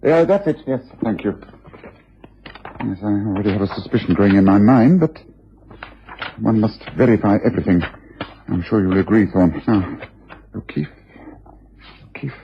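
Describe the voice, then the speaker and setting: An actor playing a shrewd, self-assured gentleman detective with an upper-class English accent, precise and theatrical, in a radio drama. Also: lowish voice, animated, normal speed, pauses often, slight background noise. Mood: decisive. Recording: muffled, rough old radio recording.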